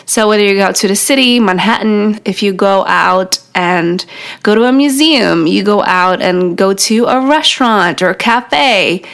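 A woman's voice: medium pitch, a little slow, fairly bright tone, clean tone. A woman in her thirties with a New York accent, whose first language is Polish, talking through tips on her podcast. She speaks in a friendly, encouraging way, right to the listener, and is often short of breath as she goes. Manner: encouraging, talks straight to the listener. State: out of breath from pregnancy. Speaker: podcast host. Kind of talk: solo podcast teaching tips.

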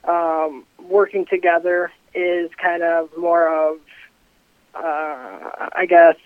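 A man in his twenties speaking as a company co-founder, halting and hedging with fillers. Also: on the high side, dry recording. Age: 20s. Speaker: CBD company co-founder guest. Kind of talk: casual interview talk. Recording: dry tinny phone line.

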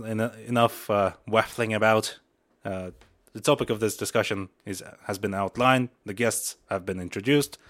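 Young man, native Mongolian speaker, lowish voice; casual, halting podcast host. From Buryatia, Russia. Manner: rambling, many fillers and restarts.

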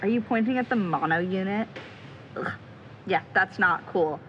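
A woman's voice: medium pitch, normal speed, muffled recording, a little hiss or hum, close to an everyday voice. A woman playing an anxious, prickly young amateur sleuth in an audio drama, hesitant, dry and sarcastic. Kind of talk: naturalistic audio drama acting.

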